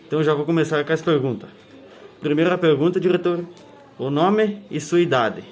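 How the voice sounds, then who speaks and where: Student interviewer, male, higher-pitched, brisk and direct with his questions. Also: darkish tone, a little hiss or hum.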